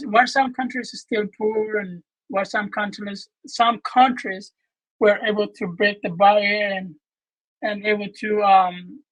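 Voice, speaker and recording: A man, answering as a guest on a video call in an earnest, halting way. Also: high voice, fairly bright tone, no echo, rough recording. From Ethiopia. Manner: earnest, with frequent restarts and fillers. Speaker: guest, medical student. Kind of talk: casual interview answers.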